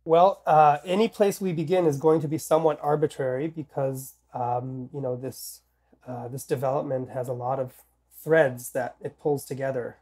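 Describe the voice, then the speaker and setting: A man in his thirties, slightly nasal from a head cold, speaking in a measured, deliberate way with many ums and restarts as a guest on a video call. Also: medium pitch, steady tone, mellow, slightly rough. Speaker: political scientist guest. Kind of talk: interview conversation. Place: home office with bookshelves.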